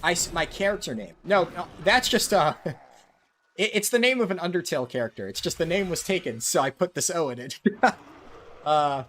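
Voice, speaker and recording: A man's voice: fairly high pitch, animated, normal speed, a bit bright, slight background noise. A man live-streaming a game, chatting with viewers in a casual way with lots of ums and likes. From United States. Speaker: livestreaming gamer.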